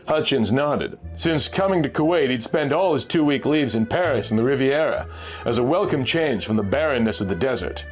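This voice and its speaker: A man's voice: medium pitch, expressive, normal speed, poor audio. A man narrating a pulp adventure story from a script, as a storyteller reading aloud. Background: music.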